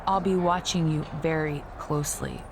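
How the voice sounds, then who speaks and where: A woman's voice: low-pitched, steady tone, moderate pace, noisy background, close to an everyday voice. A woman playing a pompous, entitled female wrestling queen in a video game cutscene, condescending and easily indignant. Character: haughty, self-crowned female wrestling queen, entitled and scornful.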